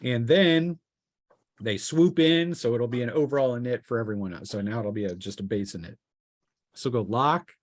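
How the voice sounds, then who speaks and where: Man refereeing a tabletop game, halting delivery. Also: medium pitch. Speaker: game master.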